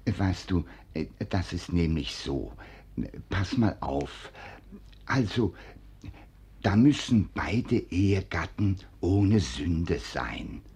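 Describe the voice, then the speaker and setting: A man's voice: sits low, animated, takes it slowly, a little mellow, full character voice. An actor playing a doting, evasive old nobleman in a 1940s radio play, stammering and coaxing with pet names. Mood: evasive, sleepy. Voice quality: husky, gravelly old voice.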